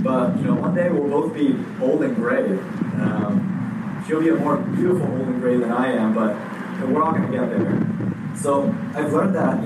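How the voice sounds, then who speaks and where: A man preaching a sermon in a conversational way, often pausing with fillers and restarting his sentences. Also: medium pitch. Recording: echoey room heard from a distance with background noise.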